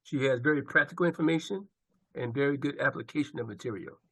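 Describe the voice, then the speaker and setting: A man's voice: mid-range voice, fast talker, breathy edge, no room sound, poor audio. Man introducing a speaker in a dry close recording. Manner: warm and approving, with "uh" fillers. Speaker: college dean introducing a speaker.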